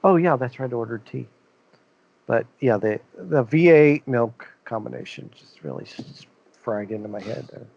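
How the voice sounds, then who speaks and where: A man's voice: medium pitch, animated, normal speed, creaky, a little mellow, lo-fi sound. A man around fifty co-hosting a podcast, telling rambling stories in casual chat and laughing often. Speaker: podcast co-host.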